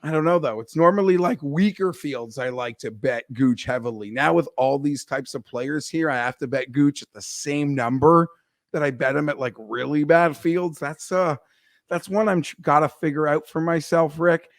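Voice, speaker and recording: A hoarse man co-hosting a casual golf betting chat, rambling and leaning on filler words. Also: a little high, normal speed, no echo, studio quality. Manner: rambling, leans on "like" and restarts. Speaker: golf betting analyst co-host.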